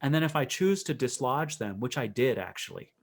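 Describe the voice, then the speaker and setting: A man with a medium-pitched voice talks as a podcast guest. He is reflective and conversational, often restarting a thought and rewording it as he explains his work as a coach. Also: very animated, brisk. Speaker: interview guest, professional coach.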